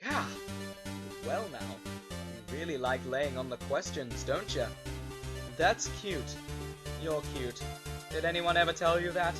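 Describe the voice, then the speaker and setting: A man's voice: a little high, animated, a little slow, long pauses, over music. A man voicing a shifty, cheeky witness with a folksy, evasive, blustering manner in a fan audio drama. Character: shifty, cheeky male animal witness on the stand.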